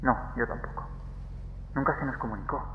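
A man's voice: mid-range voice, fairly quick, phone-quality sound, lightly acted. An actor from playing a hesitant, uneasy wartime navy crewman in an audio drama. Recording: reverberant echoey room, faint noise.

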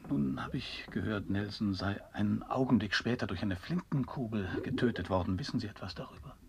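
An actor playing a stubborn, wordy seafarer in a German radio play, speaking in a dry, formal, pedantic manner. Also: male voice, on the low side, fairly quick, gravelly. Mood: dry, indignant. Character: stubborn, wordy male old seafarer wearing a borrowed head.

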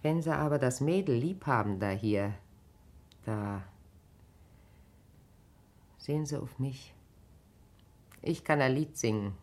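Slow, low-voiced, wronged country wife. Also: female voice, animated, a little mellow, heavily acted. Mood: shaken, weary. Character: weary, bitterly wronged middle-aged country wife, resigned yet proud. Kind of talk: naturalistic German radio drama acting.

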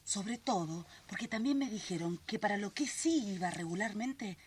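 An actress playing a brisk, cheeky, confident grown daughter in a radio drama, with a Rioplatense Argentine accent and a teasing, assertive manner. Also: female voice, medium pitch, animated, slight background noise, close to an everyday voice. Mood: confiding, hushed.

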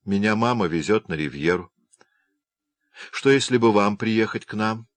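A man reading the lines of a giddy, effusive young woman in love in an audiobook, in a low, dry voice. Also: lively intonation, moderate pace, dry recording, close to an everyday voice.

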